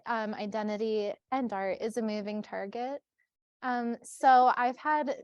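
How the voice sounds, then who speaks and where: A woman's voice: a little high, lo-fi sound. A woman giving a casual talk to a school group as a guest artist, encouraging and often echoing back what she hears.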